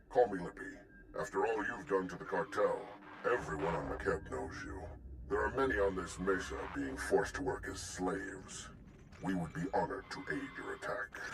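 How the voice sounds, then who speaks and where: A pompous alien scientist in a game cutscene, speaking very low with florid, haughty formality. The transmission has clear noise behind it. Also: male voice.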